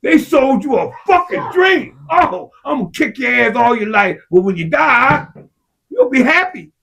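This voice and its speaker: A man in heated casual discussion, his husky voice sitting high. He is impassioned and profane. His voice climbs to shouts when he presses a point. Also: sing-song, a little slow. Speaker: podcast co-host.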